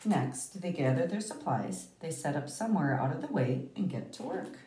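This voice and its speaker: A woman reading a picture book aloud to children, with deliberate, emphatic phrasing and dramatic pauses. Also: deep voice, big pitch swings, dark tone. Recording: roomy, echoey, distant microphone.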